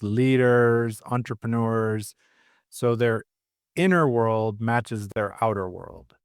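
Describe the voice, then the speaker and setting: An American man with a smooth, clear voice at a middle pitch. He talks casually as a quiz contestant, with very little room sound. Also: no room sound, clean studio sound. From California, United States. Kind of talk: casual chat.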